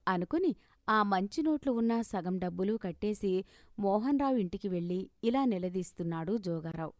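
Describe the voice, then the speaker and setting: A woman narrates a scripted moral story. Her voice is of medium pitch and recorded very dry, with no room around it. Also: expressive, moderate pace, a little mellow, no room sound.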